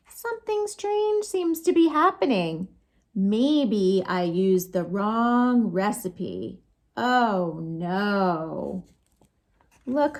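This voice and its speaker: A woman with a fairly high voice hosts a children's storytime. She reads at a leisurely pace with big, swooping intonation. Her manner is sing-song and expressive, with chatty asides to the kids. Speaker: children's librarian storytime host. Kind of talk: animated picture-book read-aloud for children.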